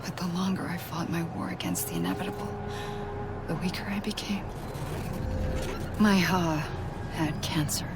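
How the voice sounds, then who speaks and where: An actress voicing a grave, haunted woman in a video game cutscene, speaking quietly and intimately, often close to a whisper. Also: low-pitched, noisy background. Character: grave woman who founded a network, haunted. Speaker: game character. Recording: game cutscene with loud score. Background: orchestral game music.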